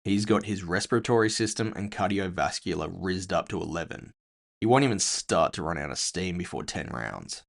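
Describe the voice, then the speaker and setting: Man as Ulf, a wry low-voiced tough guy. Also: lively intonation, moderate pace, creaky, exaggerated voice. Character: Ulf, wry scoffing male cyberpunk fighter. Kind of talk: audio fiction dialogue.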